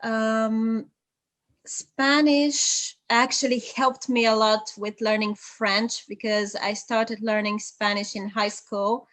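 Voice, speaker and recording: Bulgarian-native woman, co-host, unhurried and slightly high, measured explainer. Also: little pitch movement, a bit bright. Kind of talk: casual video call interview.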